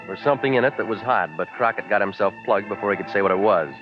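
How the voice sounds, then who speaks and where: Man playing a low-voiced, deadpan hard-boiled radio detective. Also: very fast, lightly acted. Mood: wry narrating. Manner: terse, deadpan, sardonic. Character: wisecracking, world-weary hard-boiled male private investigator. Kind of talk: 1940s radio detective drama narration and dialogue. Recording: dull 1940s radio recording, loud music.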